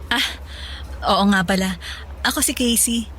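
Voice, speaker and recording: A woman's voice: medium pitch, measured pace, fairly bright tone, a little hiss or hum, lightly acted. An actress playing a chatty young Filipino office woman, breezy and coy, mixing English into her talk in a radio drama. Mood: awkward, coy. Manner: casual Taglish, breezy, coy.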